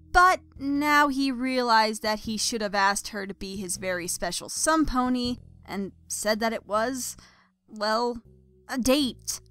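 A woman's voice: on the high side, pushed and bright. A woman reading scripted story narration expressively as the narrator.

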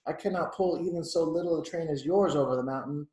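A man voicing a gloomy, worn-out old steam engine from a storybook, sighing and slow. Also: a little high, a little mellow, roomy sound, lightly acted. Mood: weary, sighing. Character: rusty, weary old male freight engine, gloomy.

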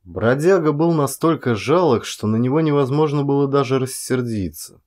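A man's voice: mid-range voice, normal speed, a little mellow. A man reading aloud in the scripted manner of an audiobook narrator. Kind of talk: dramatic scripted literary narration.

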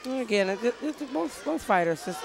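A man's voice: very high voice, animated, brisk, gravelly. A man doing live boxing commentary from ringside in an indoor arena. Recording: loud arena crowd behind voice.

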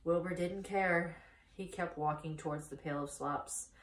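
A woman in her thirties reading a children's book aloud as expressive storytime narration. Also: deep voice, unhurried. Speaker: storytime reader. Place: small indoor room, seated by a wall. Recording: echoey room, distant microphone.

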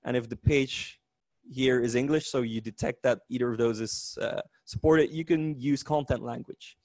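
A man with a Dutch accent gives a lively, joking technical talk at a meetup. His voice sits at a middle pitch and sounds dry and close, with little room echo. He often restarts his sentences as he explains. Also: moderate pace. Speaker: conference speaker.

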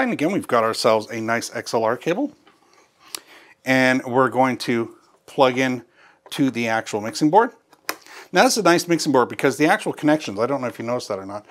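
Male presenter, 50s, mid-pitched, for a casual, practical step-by-step instructional video. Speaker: host of audio gear tutorial. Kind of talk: instructional walkthrough. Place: small indoor studio. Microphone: lavalier.

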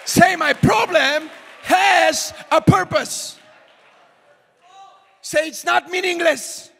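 A young male pastor preaches to a congregation in a big sanctuary, his high, bright voice carrying a gravelly edge. He swings from shouted calls to hushed asides. A long reverb rings around each phrase. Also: slow pace, some chatter behind, slight background noise. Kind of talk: sermon. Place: large church auditorium with congregation. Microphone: handheld mic.

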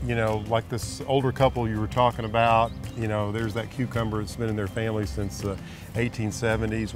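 A middle-aged man hosting a garden show, chatting outdoors with an admiring, enthusiastic manner. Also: lowish voice. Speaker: host. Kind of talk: friendly on-location interview. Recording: music bed underneath.

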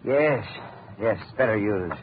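Dying sardonic scientist, old-time radio play, tinny lo-fi sound, faint hiss. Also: male voice, lowish voice, expressive, slow. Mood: weak. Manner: sardonic, brooding. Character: dying older male scientist, bitter and mocking. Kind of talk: 1940s radio acting.

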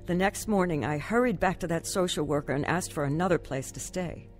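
A woman's voice: lowish voice, fairly quick, faint music behind, natural voice. An actress playing a troubled, despondent mother in her thirties, narrating and acting in an earnest radio drama style. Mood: somber, reflective.

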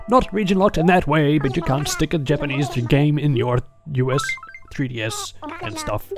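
A video game commentator giving casual gameplay commentary in a playful, exclamatory way, with rambling asides. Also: medium pitch. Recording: game music behind voice. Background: video game music.

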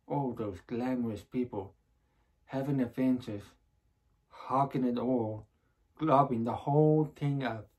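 A man performing a stage monologue as a restless, bitter young man yearning for adventure, speaking in a theatrical, deliberate, sardonic way. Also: medium pitch, darkish tone.

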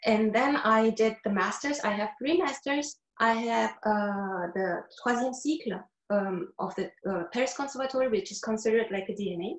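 A woman's voice: a little high, moderate pace, hardly any pauses. A middle-aged woman from Korea, a flutist talking on a panel over a video call in an earnest way, searching for words between many fillers. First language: not English. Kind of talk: casual panel talk. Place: wood-panelled room indoors. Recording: echoey room on a video call.

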